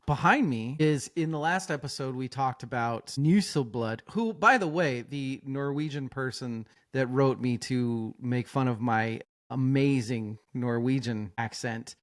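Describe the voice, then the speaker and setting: A man's voice: medium pitch, unhurried, few pauses. Jokey, swearing podcast host man. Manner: animated, swears often, jokey. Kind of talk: casual chat.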